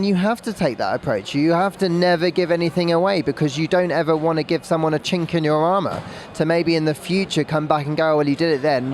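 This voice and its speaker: Guy podcast pundit talking racing casually, highish voice, outside w traffic noise. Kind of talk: casual sports analysis chat.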